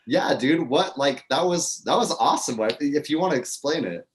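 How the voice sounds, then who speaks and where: A man's voice: fairly high pitch, animated, moderate pace, slight background noise. A man hosting a casual music interview, laid-back and loose, saying "like" and "dude" a lot.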